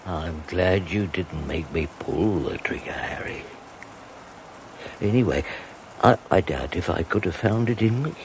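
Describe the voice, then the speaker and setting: A soft-spoken gloating killer. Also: male voice, deep voice, animated, measured pace, a little hiss or hum, low-quality recording. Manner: softly gloating, bitter. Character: quiet vengeful middle-aged murderer, gloating. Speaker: radio drama actor. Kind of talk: 1980s radio drama acting.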